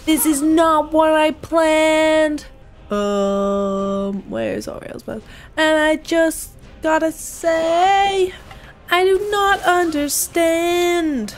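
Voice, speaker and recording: High-voiced young woman streaming a game, unhurried. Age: young adult. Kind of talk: casual gameplay commentary. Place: small room at home, indoors. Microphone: desk microphone, close, with headset. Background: game music.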